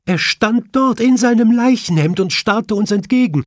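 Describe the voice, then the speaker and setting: A distraught widow in a crime audiobook, acted by a man. The voice is pitched high and swings widely, tearful and shaken. The recording is close and dry. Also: animated, moderate pace, dry recording. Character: distraught weeping widow, a grieving gentlewoman.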